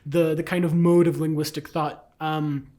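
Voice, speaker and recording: A man's voice: fairly high pitch, little pitch movement, moderate pace, warm tone. A man whose first language is Bulgarian, explaining ideas fluently in English as a guest in a casual conversation. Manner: fluent, explanatory, with light laughs. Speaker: guest scholar.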